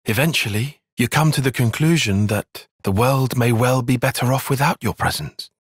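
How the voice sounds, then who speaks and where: A man's voice: mid-range voice, normal speed, breathy edge, no room sound, clean studio sound, close to an everyday voice. A man playing an earnest, analytical young soldier in a fantasy video game, speaking with precise, formal phrasing. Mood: subdued. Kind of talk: video game cutscene acting.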